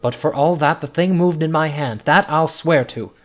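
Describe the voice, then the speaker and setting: A man's voice: a little high, moderate pace, voice pushed up, natural voice. A man playing an old father in a storybook drama, earnest and insistent with his family. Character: old father, stubborn but soothing husband.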